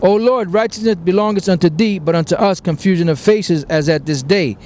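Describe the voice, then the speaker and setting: High-voiced man reading scripture outdoors. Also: a little mellow, a little hiss or hum. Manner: reads verses slowly, then explains them. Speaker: street preacher reading scripture.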